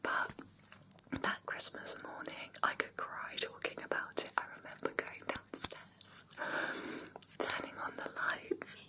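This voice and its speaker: A young British woman whispering personal memories in a soft, rambling, sentimental ASMR style with many ums. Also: measured pace, rough recording. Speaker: ASMR creator.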